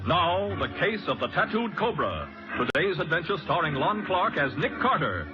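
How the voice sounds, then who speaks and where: Animated American male radio announcer over music. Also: fairly high pitch, normal speed. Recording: muffled old radio recording with music.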